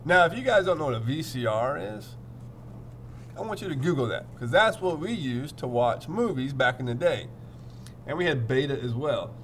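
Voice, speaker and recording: A man's voice: mid-range voice, animated, moderate pace, slight background noise. A man teaching through a storybook read-aloud, playful and theatrical, repeating phrases and chatting to his pupils.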